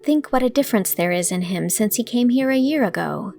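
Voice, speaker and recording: A woman playing an earnest, kindly young woman, gentle and sincere, in an audiobook drama. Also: a little high, lively intonation, fairly quick, vocal fry, soft background music. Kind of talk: audiobook drama character reading.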